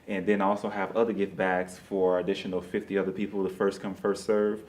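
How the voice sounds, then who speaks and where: A man's voice: low voice, level tone, brisk, a little mellow. A man speaking casually as an interview guest, promoting a show in informal wording and repeating phrases for emphasis. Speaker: interview guest, stage play producer.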